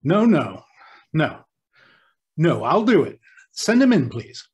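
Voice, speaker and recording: A man playing an earnest, flustered hospital superintendent in an old-time radio drama, speaking haltingly and repeating himself. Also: on the high side, sing-song, darkish tone. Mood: startled, flustered.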